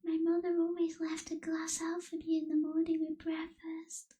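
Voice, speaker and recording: A woman playing a lonely, newly turned young female vampire in a soft, breathy, whispered ASMR roleplay, wistful and gentle. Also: very high voice, monotone. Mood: wistful, tender. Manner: slow, hushed, wistful, gentle pauses.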